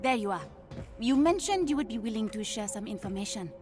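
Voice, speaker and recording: A woman's voice: a little high, lively intonation, a little fast, over music, lightly acted. A woman playing a clever young princess and scientist in a video game, with an African accent and a precise, self-assured delivery. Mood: businesslike. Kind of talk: video game cinematic acting.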